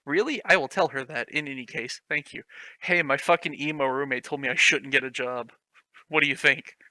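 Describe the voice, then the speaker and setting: Bright, sharp-toned man reading mockingly. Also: mid-range voice, brisk, no room sound, poor audio. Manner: mocking, giggly, swears freely. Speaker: reader of a fan fiction. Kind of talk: comedic dramatic reading with commentary.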